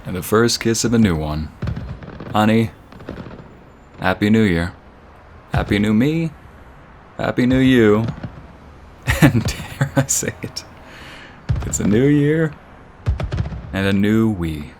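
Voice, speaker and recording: A man's voice: on the low side, expressive, takes it slowly, long pauses, slight background noise. A man playing a devoted, sentimental boyfriend in a romantic ASMR roleplay, speaking intimately and earnestly. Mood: celebratory, loving. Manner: intimate, earnest, often hushed and breathy.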